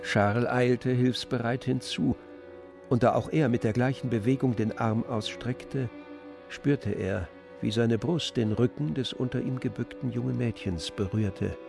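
A man narrating a literary story in a measured, descriptive manner. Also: mid-range voice, creaky, music in the background.

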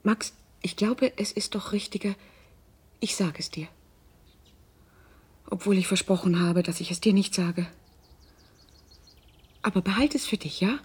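Mellow, husky middle-aged mother. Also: medium pitch, a little slow, pauses often. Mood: confiding, hesitant. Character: earnest middle-aged mother confiding in her grown son. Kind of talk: naturalistic German radio drama acting.

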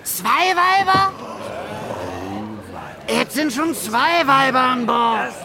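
An actor playing an outraged, grumbling pirate crewman in a radio drama, exclaiming in a drawn-out way. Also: very high voice, lively intonation, creaky, heavily acted. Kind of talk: German radio drama acting.

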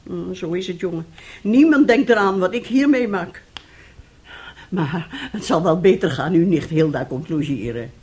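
Woman acting bossy, wheedling mother, animated. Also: lowish voice, moderate pace, close to an everyday voice. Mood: self-pitying. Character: bossy, scheming, self-pitying mother coaxing her son. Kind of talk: radio play acting.

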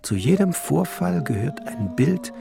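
Johann Kunkel, slow gravelly brooding alchemist. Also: male voice, on the high side, big pitch swings, over loud music, exaggerated voice. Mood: ominous, musing. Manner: solemn, halting, often sinking to a whisper. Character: Johann Kunkel, proud brooding 17th-century alchemist and glassmaker. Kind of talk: poetic radio play monologue.

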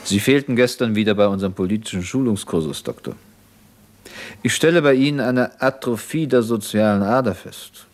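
An actor playing a zealous, self-assured revolutionary commander in a German radio play, didactic and needling. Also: male voice, fairly low pitch, normal speed, natural voice.

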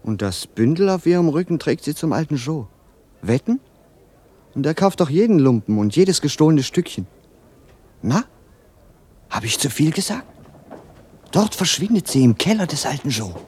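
A man's voice: fairly high pitch, sing-song, normal speed, pauses often, darkish tone. A man acting a gossipy, mocking merchant in a 1960s German radio drama, with a sardonic delivery. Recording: 1960s radio recording, faint noise.

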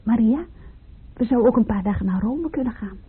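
A woman's voice: a little high, expressive, fairly quick, close to an everyday voice. An actress playing a caring, practical female friend who coaxes and comforts in a Dutch radio play. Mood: soothing. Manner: coaxing, comforting, gently chatty. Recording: tinny, noisy old radio recording.

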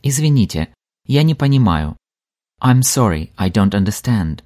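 Slow, lively-voiced male language teacher. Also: lowish voice. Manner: slow, clear repetition of phrases for learners. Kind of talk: scripted language lesson narration.

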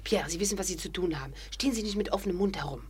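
An actress in a 1950s radio play, playing a brisk, resourceful young woman with a commanding, urgent way of talking. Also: lowish voice, breathy edge. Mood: brisk, bossy.

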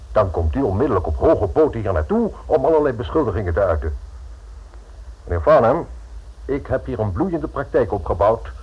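An actor playing a haughty, condescending society lawyer in a radio play, formal and scornful in manner. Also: male voice, mid-range voice, husky. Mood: indignant. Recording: muffled old radio recording.

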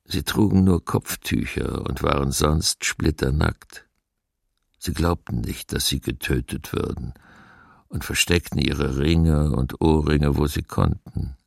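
An actor playing a grave male eyewitness giving sombre, measured testimony in a documentary radio play. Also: rumbling low, creaky, slightly rough, no echo, studio quality, exaggerated voice. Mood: grave, haunted. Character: grave male eyewitness recalling forced labour among the dead. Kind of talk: sombre first-person testimony, read dramatically.

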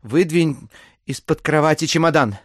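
An actor playing an anxious gentleman master in an audio drama, giving brisk, peremptory orders. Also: fairly high pitch, no echo, clean studio sound, natural voice. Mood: commanding. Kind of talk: theatrical audio drama acting.

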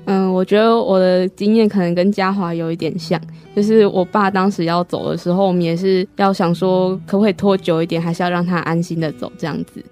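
A woman's voice: lowish voice, steady tone, a little fast, hardly any pauses, darkish tone, soft background music. A young woman answering conversationally as a student guest in an interview.

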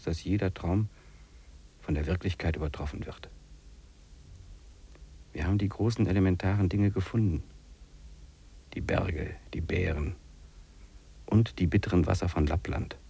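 Radio play lead: reflective, weary male wanderer, deep creaky husky voice, poetic delivery. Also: warm tone, heavily acted. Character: weary, dreamy male wilderness hiker, reflective. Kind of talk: 1950s German radio drama acting.

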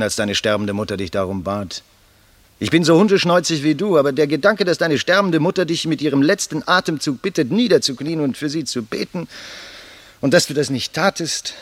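An actor playing a stout, boisterous young medical student in a theatrical, teasing 1960s German radio drama style. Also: male voice, mid-range voice, lightly acted. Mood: reproachful. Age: young adult.

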